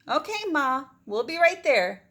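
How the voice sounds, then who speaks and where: Lady doing a spirited little storybook girl, earnest and exclaiming, voice pitched up. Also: very animated, unhurried, sharp tone, full character voice. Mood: cheerful. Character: spirited young girl devoted to her pet cricket.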